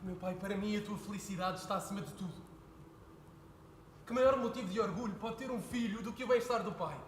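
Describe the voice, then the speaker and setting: A young man playing an earnest son who pleads with his father, building to heated shouting. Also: high-pitched, a little fast, slight background noise, natural voice. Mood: pleading. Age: young adult. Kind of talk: stage play performance. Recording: echoey hall with faint ambience.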